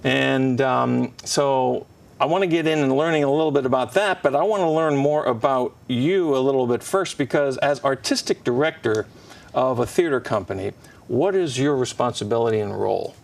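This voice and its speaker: A male TV interviewer with a gravelly, creaky voice asks questions in a friendly, curious way. His voice sits a bit away from the mic and is mid-pitched. He keeps the talk going with short acknowledgements. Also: moderate pace, distant mic.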